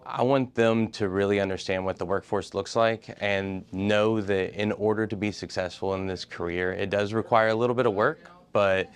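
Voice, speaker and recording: A man, a high school teacher, talking earnestly about his students in an interview. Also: fairly even intonation, moderate pace, hardly any pauses, slight background noise. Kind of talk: interview soundbite.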